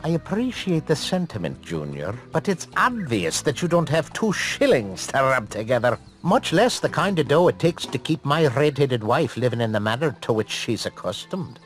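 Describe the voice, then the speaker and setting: A man's voice: mid-range voice, sing-song, normal speed, hardly any pauses, soft background music, close to an everyday voice. An actor playing a grouchy, crooked male judge in a video game, curt and sardonic. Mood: grouchy, dismissive.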